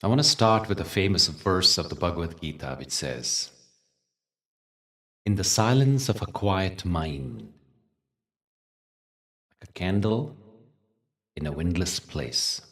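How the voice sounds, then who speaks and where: A man leading a guided meditation as a teacher, speaking gently in short phrases with long pauses. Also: deep voice, very animated, far from the mic. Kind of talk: guided meditation with spiritual talk.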